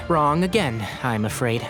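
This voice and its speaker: A man's voice: fairly high pitch, sing-song, takes it slowly, loud music behind the voice. A man voicing a considerate young scholar in a video game, patient and reassuring as he explains things. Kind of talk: video game character acting.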